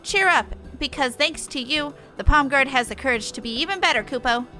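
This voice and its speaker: A woman's voice: sits high, lively intonation, fairly quick, pushed and bright, soft background music. A woman voicing a small, earnest moogle guard creature, plucky and exclaiming, reading game dialogue expressively. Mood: cheering, fond.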